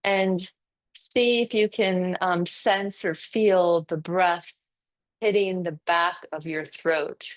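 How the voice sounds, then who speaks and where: A woman yoga instructor leading breathing and relaxation practice in a gentle, unhurried way, leaving pauses between instructions. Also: on the low side, steady tone.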